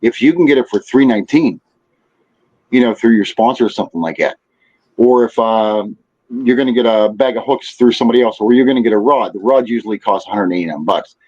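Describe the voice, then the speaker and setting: A man's voice: lowish voice, fairly quick, a little mellow. A man chatting casually as a podcast guest, giving rambling advice peppered with "you know". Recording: dull, lo-fi audio.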